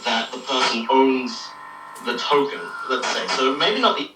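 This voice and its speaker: A man's voice: medium pitch, lively intonation, unhurried. A man speaking on a radio broadcast, heard through an old radio's speaker. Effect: played through an old radio speaker. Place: heard from a radio across a small room. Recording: distant, echoey radio speaker playback with music.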